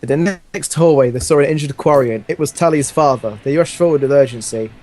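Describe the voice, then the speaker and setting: A man's voice: mid-range voice, fairly quick, dark tone. A man hosting a comic read-aloud of fan fiction, often breaking into laughter between bits of casual banter. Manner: giggly, often breaking into laughter while reading.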